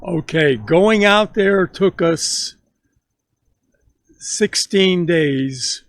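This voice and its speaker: A man in his nineties telling stories from his youth to his grandson, slow and halting, often repeating words as he begins a sentence. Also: high voice, no echo, studio quality. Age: 90s. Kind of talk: casual storytelling interview.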